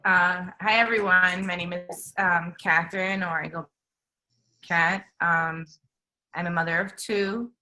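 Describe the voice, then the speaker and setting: Low, bright-voiced woman from Puerto Rico. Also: fairly even intonation, poor audio. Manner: halting, self-correcting, laughing lightly. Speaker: panelist. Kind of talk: webinar self-introduction.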